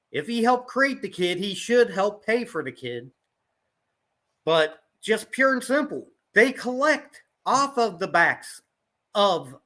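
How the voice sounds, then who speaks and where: High bright-voiced guy from ohio on a panel show, blunt, keeps saying pure and simple. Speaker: guest panelist. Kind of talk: online panel discussion.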